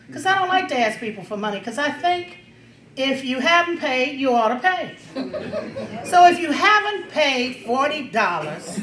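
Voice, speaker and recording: Woman, seventies. Big projecting voice, unhurried and emphatic, plain-spoken informal speech in a reverberant room. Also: high voice, fairly bright tone. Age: 70s. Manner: emphatic, outspoken, with pauses for effect. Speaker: reunion speaker. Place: echoey indoor gathering room. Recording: echoey room.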